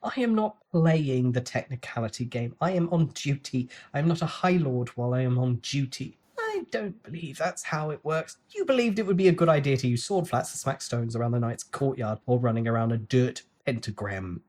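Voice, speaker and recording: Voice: mid-range voice, expressive, moderate pace, hardly any pauses, a little mellow, lightly acted. A performer voicing a stern, exasperated young lord in a formal, dryly sarcastic manner for a fantasy story.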